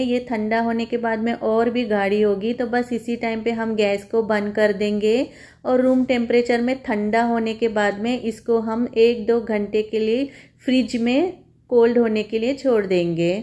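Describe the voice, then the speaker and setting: Higher-pitched woman narrating a recipe. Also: steady tone, normal speed, mellow. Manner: instructional, addresses viewers as friends. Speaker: home cook presenting a recipe. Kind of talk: recipe voice-over narration, step by step.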